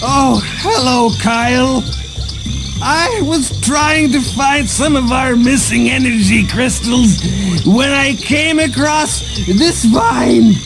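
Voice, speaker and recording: A cheery old cartoon superhero grandpa, slow and quavery, real high voice. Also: male voice, full character voice, rough recording. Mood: cheery, nostalgic. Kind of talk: cartoon video game voice acting. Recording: game music behind.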